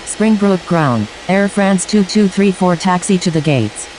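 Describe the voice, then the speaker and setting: Against a faint hiss, a woman acting as an airline pilot gives clipped radio calls in a fairly low voice. The delivery is formulaic and businesslike, as in an air traffic simulation game. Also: expressive, measured pace, darkish tone, poor audio.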